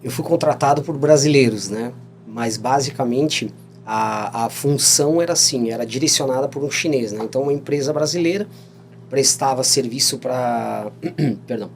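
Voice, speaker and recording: A man's voice: medium pitch, normal speed, soft background music. A Brazilian man in his thirties, a podcast guest telling stories in a rambling casual way, often adding "né?" and laughing along. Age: 30s. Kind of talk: casual storytelling interview.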